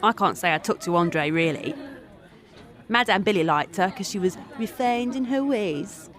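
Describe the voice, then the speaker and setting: A woman's voice: medium pitch, expressive, moderate pace, fairly bright tone, a faint crowd, natural voice. An actress with a British accent playing a brash, earthy former streetwalker confiding her story in an audio drama. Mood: wry, confiding. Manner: wry, gossipy, blunt. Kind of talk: audio drama monologue, chatty confiding narration.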